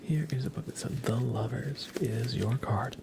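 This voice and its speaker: A man hosting an ASMR livestream, talking softly in a gentle, unhurried, chatty way to his viewers. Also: mid-range voice, vocal fry, noisy background. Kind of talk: ASMR, soft whispered live chat and reading.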